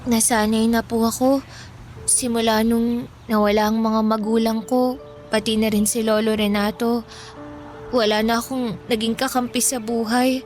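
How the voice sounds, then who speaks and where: A woman's voice: fairly high pitch, flat delivery, normal speed, pauses often, a little mellow, close to an everyday voice. An actress playing a lonely, wary fourteen-year-old homeless orphan girl with a Filipino accent, polite and meek, in a radio drama. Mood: resigned. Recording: music bed under the voice.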